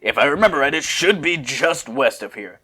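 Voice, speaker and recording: A boastful alien warrior, acted out by a man for an amateur fan fiction reading. The voice is forceful and bright, full of loud, teasing exclamations and cocky confidence. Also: a little high, animated, normal speed, voice pushed up. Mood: confident, upbeat. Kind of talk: amateur fanfiction character reading.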